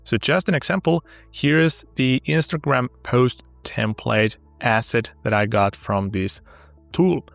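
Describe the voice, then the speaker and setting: Upbeat gravelly man, native Finnish speaker. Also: medium pitch, slow pace, no echo. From Finland. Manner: upbeat, explains step by step. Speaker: tech tutorial host. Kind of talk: sponsored software tutorial.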